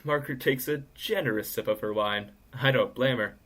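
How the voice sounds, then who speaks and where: A man's voice: medium pitch, normal speed, fairly bright tone, lightly acted. A young man playing a gossipy storyteller who narrates an audio drama with wry, knowing asides. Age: young adult.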